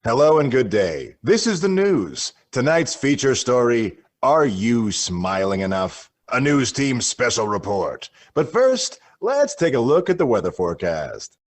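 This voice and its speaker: An actor playing a relentlessly cheerful, slightly unsettling radio DJ, with theatrical and reassuring retro announcer patter. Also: male voice, medium pitch, big pitch swings, measured pace, few pauses. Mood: brisk, announcing.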